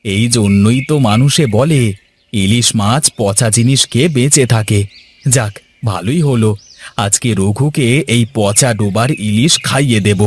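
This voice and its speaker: A man's voice: medium pitch, measured pace, a little hiss or hum, close to an everyday voice. A man voicing a hot-headed, resentful younger brother from a village in a cartoon. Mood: scheming. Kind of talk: Bengali cartoon dialogue.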